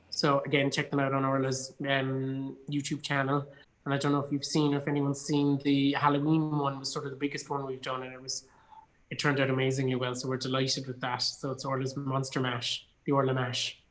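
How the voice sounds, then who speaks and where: A man's voice: medium pitch, a bit bright, poor audio. Chatty, enthusiastic Irish man. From Cork, Ireland. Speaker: actor and theatre company artistic director being interviewed. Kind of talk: casual chat.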